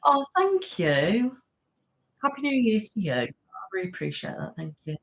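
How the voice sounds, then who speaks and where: Chatty soft-spoken woman greeting viewers. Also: mid-range voice, very animated, moderate pace. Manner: soft-spoken with filler words. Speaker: ASMR channel host. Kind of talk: casual live-stream chat.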